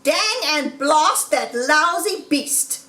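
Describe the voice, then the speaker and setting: A mean fat farmer from a storybook blusters and exclaims, as a woman puts him on. The pitch is high and swooping, and the room echoes around the voice. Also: animated, slow, pushed and bright, exaggerated voice. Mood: furious, exasperated. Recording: roomy echo, distant microphone.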